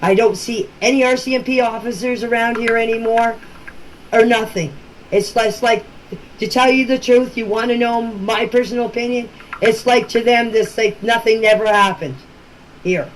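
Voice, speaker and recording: A woman's voice: on the high side, steady tone, normal speed. An older woman talks informally and emphatically in a voice message, plain-spoken and often repeating herself. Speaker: relative speaking about a missing-children case. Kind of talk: informal personal voice-message talk. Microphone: phone voice message. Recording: noisy amateur voice-message recording.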